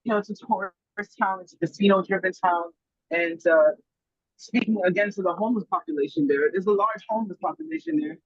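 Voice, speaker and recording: High-voiced husky man on a muffled line. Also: expressive, fairly quick, a bit bright, no room sound. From Germany. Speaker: guest food grower. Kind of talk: casual podcast conversation.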